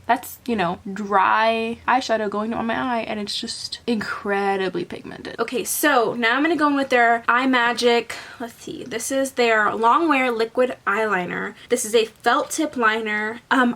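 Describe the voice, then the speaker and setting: Young woman vlogger from Colombia, light Southern US accent, slightly high pitch, casual rambling delivery. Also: fairly bright tone. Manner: chatty, rambling, lots of "like" fillers. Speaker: beauty vlogger. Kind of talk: casual makeup tutorial chat. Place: bedroom at home.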